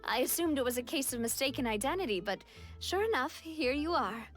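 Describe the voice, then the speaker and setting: Confident young lady leader, highish voice, calm and sure. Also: a little fast. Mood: friendly. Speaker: game character. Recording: game cutscene audio with faint music.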